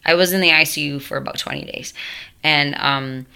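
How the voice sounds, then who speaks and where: A young woman with a low, gravelly voice that still has a bright, forward edge, left changed by a tracheotomy. She tells her story casually to the camera, circling back and trailing off with fillers and asides. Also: steady tone, moderate pace. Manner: rambling, self-interrupting, many fillers and asides. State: voice altered after a tracheotomy. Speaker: vlogger.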